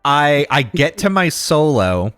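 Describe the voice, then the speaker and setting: Lively, animated male storyteller. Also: on the high side, takes it slowly, smooth, no echo, clean studio sound, faint music behind. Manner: animated storyteller, acts out quotes, many fillers. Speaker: podcast co-host. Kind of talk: casual chat, storytelling.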